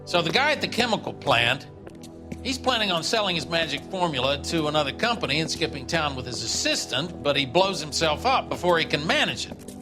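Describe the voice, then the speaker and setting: An actor playing a blunt, skeptical police captain in a dramatic video game, with wry, emphatic authority. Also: male voice, fairly high pitch, very animated, brisk. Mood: skeptical, questioning. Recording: background music behind the voice.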